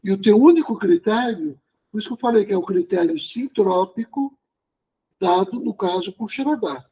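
A man with a notably high, husky voice leads a study group conversation. He muses aloud, explains step by step and keeps checking in with his listeners. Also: moderate pace, dull audio. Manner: musing, explanatory, frequent tag questions. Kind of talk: reflective group discussion.

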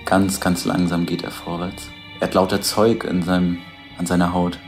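Radio drama describer: deep, plain, observational, faint music underneath. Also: male voice, little pitch movement, normal speed. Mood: observing.

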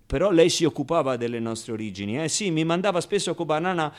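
An actor playing a naive, wistful, streetwise ten-year-old orphan in an expressive, halting radio monologue. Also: male voice, fairly high pitch, lightly acted. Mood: wry, confiding. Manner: expressive, halting, conversational storytelling with rhetorical tags. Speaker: solo actor.

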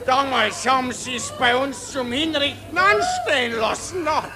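Loud crowd noise fills an old radio recording. a callous, tipsy Austrian officer, high and lively in pitch. He rambles slowly and haltingly. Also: full character voice. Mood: callous, boastful. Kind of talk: 1940s German radio play acting.

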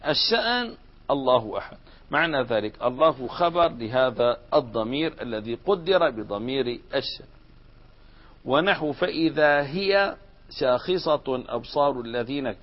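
A man teaching an Arabic grammar lesson, explaining step by step and putting questions to the class. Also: medium pitch. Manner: explains step by step, asks students questions and answers them. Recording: dull lo-fi recording.

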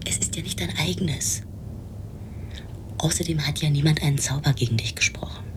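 A woman's voice: moderate pace, whispered, lightly acted. An actress playing a bossy, superstitious young woman in a radio drama, speaking in an insistent, assertive way. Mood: conspiratorial. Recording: rumbling noise behind.

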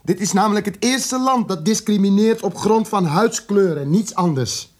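An actor playing an educated, indignant South African radical in a radio drama, articulate and emphatic, repeating words for weight. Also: male voice, high-pitched, moderate pace. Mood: solemn, impassioned.

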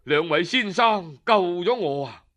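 A man's voice: a little high, lively intonation, unhurried, a bit bright, pitched below the performer's own voice. A male storyteller with a Cantonese accent voicing a proud, stubborn exiled nobleman who repeats himself emphatically. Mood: ashamed, contrite.

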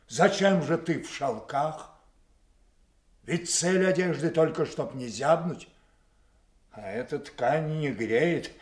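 An older man playing a proud, anguished old king wronged by his daughters, in declamatory classical tragedy style that swings between hushed and thundering. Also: mid-range voice, natural voice. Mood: bitter, reproachful. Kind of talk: classical stage tragedy acting.

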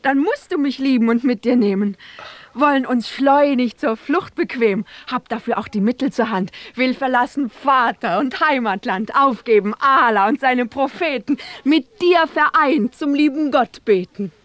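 A woman's voice: high-pitched, normal speed, a bit bright, dry recording. A woman playing a young, devoted, eager sultan's daughter, speaking earnest rhymed verse in an audio drama. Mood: eager, resolute.

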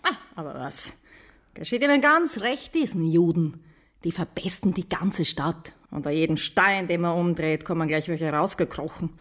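A woman's voice: fairly low pitch, animated, normal speed. An actress playing a spiteful, hate-filled city woman who hisses and rants in agitation in a radio drama. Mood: spiteful, agitated. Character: venomous, hate-filled Berlin woman ranting in prejudice.

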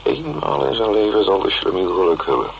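Very deep, wry, gravelly cynical man. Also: sing-song, fairly quick, heavily acted. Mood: sardonic, tender. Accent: Netherlands Dutch. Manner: sardonic, rambling monologue. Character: wry, cynical working man musing ruefully to his sweetheart. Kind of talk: radio drama acting. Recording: dull, hissy old radio recording.

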